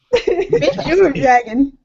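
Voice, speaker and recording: A woman's voice: sits high, sing-song, drawn-out words, muffled recording, very noisy. A woman co-hosting a podcast with casual, comic banter. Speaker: podcast co-host.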